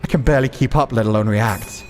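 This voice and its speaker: A man's voice: mid-range voice, fast talker, soft background music, close to an everyday voice. A man voicing a hot-headed, foul-mouthed young rebel swordsman in a visual novel, defiant and intense. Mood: tense.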